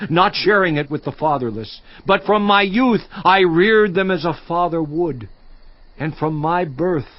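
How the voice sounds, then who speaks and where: A man's voice: on the high side, dry recording, dull audio. An actor in a dramatized audio Bible playing an anguished, righteous man who solemnly protests his innocence to God. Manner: slow, solemn, impassioned declamation.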